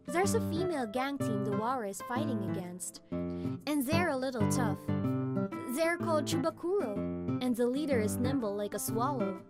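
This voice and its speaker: A woman's voice: high voice, lively intonation, normal speed, over loud music. A woman playing a tough, protective teenage girl gang leader in a manga dub, bossy and blunt yet girlish. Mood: concerned. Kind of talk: manga dub character acting.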